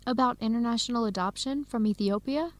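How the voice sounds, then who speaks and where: A woman's voice: fairly high pitch, fairly quick, lightly acted. A woman playing a friendly young Ethiopian American grad student with an American accent in a radio drama, speaking in a conversational way. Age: young adult. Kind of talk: educational radio drama acting.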